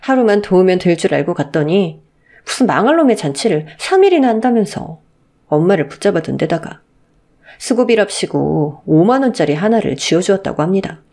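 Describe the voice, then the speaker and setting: A woman's voice: on the low side, sing-song, brisk, warm tone. A woman narrating a listener's story in the first person, in an indignant storytelling manner. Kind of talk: scripted narration of a reader's story.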